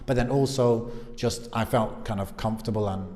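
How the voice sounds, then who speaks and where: A man's voice: on the low side, normal speed. A young man in casual podcast conversation, telling anecdotes and acting out what people said. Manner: animated, acts out reported dialogue. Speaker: podcast speaker. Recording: long reverb of a hollow room.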